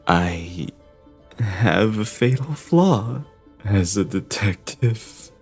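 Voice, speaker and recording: A man playing an aloof, eccentric young detective in an anime dub, with a deadpan, theatrical delivery and a weary air. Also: lowish voice, drawn-out words, pauses often, slightly rough, soft background music, heavily acted. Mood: weary, gloomy.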